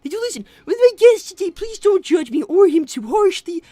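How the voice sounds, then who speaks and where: A woman's voice: very high voice, sing-song, a little fast, mellow, no room sound. A woman voicing an anxious, earnest young boy fox who stammers defensively on a character livestream. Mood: conversational. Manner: stammering, flustered. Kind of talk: improvised character livestream with donation reading.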